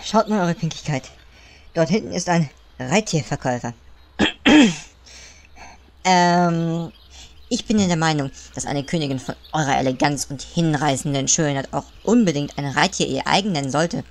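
A woman's voice: deep voice, normal speed, a little hiss or hum. A woman voicing a puny male gnome advisor in a comic audio play, fawning, whiny and pompously hesitant.

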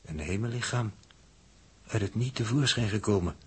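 A man's voice: on the low side, slightly rough. An actor playing a cautious, pedantic spaceship crewman with a Netherlands Dutch accent, speaking in formal, pondering 1960s radio drama style. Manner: formal, deliberate wording, pondering.

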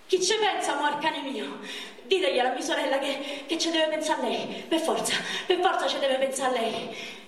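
Woman playing a high-voiced wounded young Roman man, echoey. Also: moderate pace, close to an everyday voice. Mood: anguished, pleading. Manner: colloquial, repetitive, emotionally raw. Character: wounded young man of thirty-one, pleading, wistful, foul-mouthed. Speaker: stage actor. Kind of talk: theatrical monologue in dialect. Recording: echoey theatre, distant microphone.

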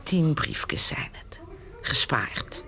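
An actress playing a weary, stubborn old farm mother in a radio play, halting and often breathy. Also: low-pitched, very animated, pushed and bright, exaggerated voice. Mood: hushed, insistent. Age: older. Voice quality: creaky, often breathy, near-whispering. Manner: slow, halting, insistent. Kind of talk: Dutch radio drama acting.

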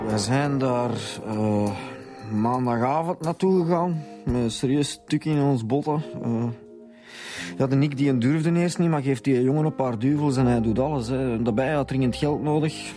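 Rough young Flemish blackmailer, played by a man, crude and slangy, medium-pitched, for radio crime drama. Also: moderate pace, dark tone, soft background music, close to an everyday voice. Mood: rueful, confessing. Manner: coarse, slangy.

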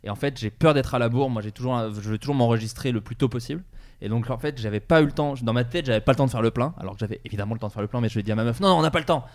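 A man hosting a podcast, telling stories in a casual, comedic and animated way and acting out bits of dialogue. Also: mid-range voice, rapid-fire. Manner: animated, informal, acts out dialogue. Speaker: podcast host.

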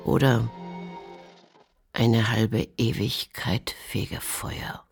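A man playing a pious, stern rural believer in a radio play, solemn and admonishing, with a creaky voice. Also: lowish voice, extremely slow, soft background music, heavily acted. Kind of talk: literary radio play monologue.

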